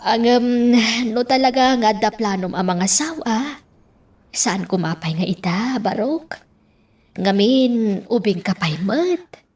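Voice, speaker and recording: A woman's voice: mid-range voice, animated, takes it slowly, long pauses, a little mellow. An actress playing a doting older woman who coaxes and advises a young man in an Ilocano radio drama. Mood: coaxing.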